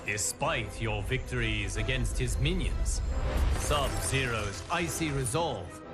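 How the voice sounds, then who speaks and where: A man as a slow, solemn guardian of timelines. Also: mid-range voice, lightly acted. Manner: solemn, formal, measured with deliberate pauses. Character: solemn male guardian of timelines addressing his champions. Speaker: narrator. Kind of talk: scripted dramatic game narration. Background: music.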